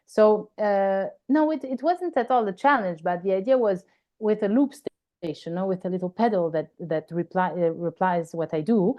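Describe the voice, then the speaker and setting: A singer with an Italian accent, a woman with a fairly high voice, answers interview questions while searching for words and often ending sentences with "no?". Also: moderate pace, warm tone. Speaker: singer and guest.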